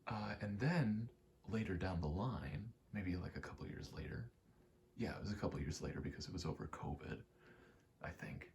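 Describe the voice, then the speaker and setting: A man giving a rambling ASMR gaming commentary, halting and meandering as he thinks aloud while playing. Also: low-pitched, creaky, a little mellow, a little hiss or hum. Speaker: gaming video creator.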